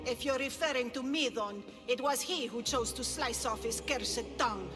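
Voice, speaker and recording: Actress as a high-voiced sinister older cult priestess. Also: female voice, moderate pace, big-room sound, music in the background, close to an everyday voice. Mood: cold, wistful. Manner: theatrical, grandiose, menacing. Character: sinister fanatical older cult priestess, cruel, falsely motherly. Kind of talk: dramatic video game acting.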